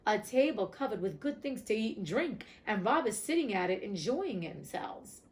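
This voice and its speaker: Woman voicing a hungry donkey from a fairy tale, eager, wishful, lively pitch. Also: moderate pace, lightly acted. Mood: plain.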